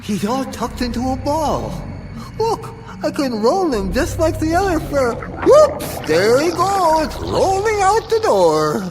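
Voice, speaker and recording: Childlike, dim sidekick, very high and singsong, innocent radio comedy. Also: male voice, huge pitch swings, takes it slowly, exaggerated voice. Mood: playful. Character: small, childlike, dim, literal-minded clumsy male sidekick. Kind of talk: comic radio play acting. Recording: long echoing reverb, music behind.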